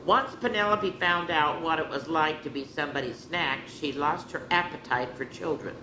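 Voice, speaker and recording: A woman's voice: low voice, normal speed. A woman hosting a children's story time, reading picture books aloud with chatty, playful asides. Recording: roomy with background noise.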